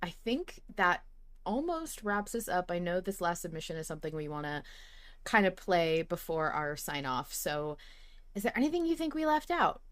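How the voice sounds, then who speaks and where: A woman's voice: lowish voice, big pitch swings, moderate pace, fairly bright tone, no room sound. A woman hosting a fan podcast in casual, effusive chat with easy swearing. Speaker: podcast host.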